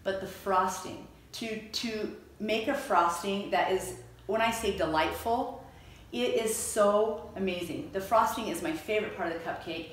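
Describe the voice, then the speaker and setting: A woman's voice: mid-range voice, a little slow. Echoey, distant woman interviewer. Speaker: host interviewing guests. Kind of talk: casual chatty interview. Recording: very echoey room, distant mic.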